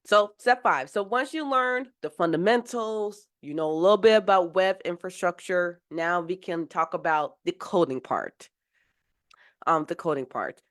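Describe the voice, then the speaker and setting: A woman with a slightly low voice who is getting over a cold, mentoring viewers in a live session. She explains things casually and rambles, with lots of fillers and restarts. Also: measured pace. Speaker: software engineering mentor.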